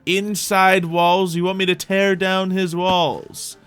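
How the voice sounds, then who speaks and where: A man's voice: sits high, little pitch movement, slow pace, a bit bright, clean tone. A man hosting a gameplay video with casual, rambling roleplay commentary, often repeating his own phrases as he talks to viewers. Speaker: host of a Minecraft roleplay video. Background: music.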